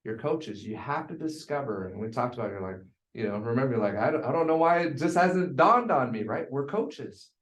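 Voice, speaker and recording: A man's voice: medium pitch, animated, brisk. A man giving a coaching talk to a group, speaking bluntly and emphatically and repeating phrases for emphasis. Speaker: real estate sales coach. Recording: echoey room.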